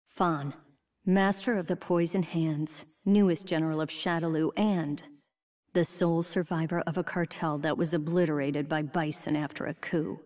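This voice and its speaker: An actress playing a focused female agent who narrates in a calm, matter-of-fact way in a game cutscene. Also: sits low, moderate pace, recorded from a distance, close to an everyday voice. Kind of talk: video game voice acting.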